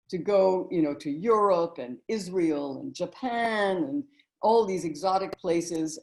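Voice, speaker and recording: A middle-aged woman talking in a relaxed interview, telling stories and memories as the guest. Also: on the low side. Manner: warm, storytelling reminiscence with frequent "you know". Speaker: guest, author and narrator.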